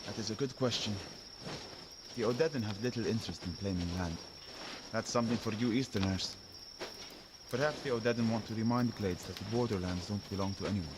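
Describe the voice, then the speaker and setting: A world-weary shepherd acted by a man in a dramatic audio game. His voice is low, guarded and pragmatic, over clearly audible outdoor insect noise. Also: brisk, lightly acted. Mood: grim, pragmatic.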